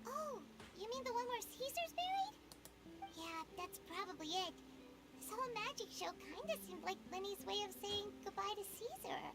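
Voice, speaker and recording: A chirpy little fairy companion bursts with energy. Her voice sits extremely high and leaps up and down constantly. She rarely sounds calm for long. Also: moderate pace, over loud music, full character voice. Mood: chatty. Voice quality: bright, squeaky. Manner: speaks of herself in the third person. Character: tiny floating female fairy companion, chirpy and excitable. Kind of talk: video game voice acting.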